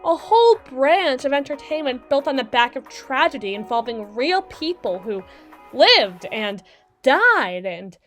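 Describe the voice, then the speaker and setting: Woman acting a high-voiced, condescending mermaid lawyer over music. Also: big pitch swings, fairly bright tone, exaggerated voice. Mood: grave, emphatic. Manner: articulate, pointed, lecturing with deliberate dramatic pauses. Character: brisk, condescending mermaid lawyer, dry-witted and blunt. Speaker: audio roleplay performer. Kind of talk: ASMR roleplay monologue, scripted. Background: background music.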